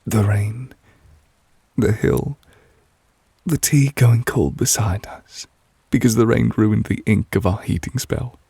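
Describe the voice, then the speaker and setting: Guy doing a tender possessive anime witch boyfriend, really breathy low voice, slow, asmr roleplay. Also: steady tone, dry recording, exaggerated voice. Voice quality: breathy, soft, often dropping to a whisper. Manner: slow, tender, murmured endearments. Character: devoted, possessive yandere male witch, tender and teasing. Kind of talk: intimate ASMR audio roleplay.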